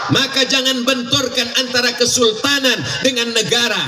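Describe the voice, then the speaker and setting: High-voiced man rousing a crowd. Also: steady tone, normal speed, bright, forceful tone, slight background noise. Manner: rousing, calls out questions for crowd responses. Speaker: Islamic preacher. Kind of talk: sermon to a crowd. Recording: echoey live recording from far off with crowd and music.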